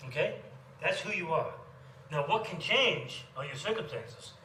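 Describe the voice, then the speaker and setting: A man from Canada with a Canadian accent, tutoring economics in a casual one-on-one chat, quick and chatty and often repeating himself. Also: fairly high pitch, animated, bright, forceful tone. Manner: quick, chatty, repeats phrases, many yeahs. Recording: echoey room, mic at a distance, faint noise.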